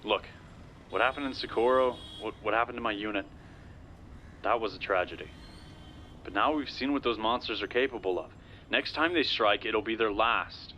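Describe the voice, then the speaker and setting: A dutiful American soldier in an audio drama. His voice is deep and bright-edged, with a forceful, earnest delivery. Faint vehicle noise sits behind him. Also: moderate pace. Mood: determined. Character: earnest American soldier, survivor, confident in the army.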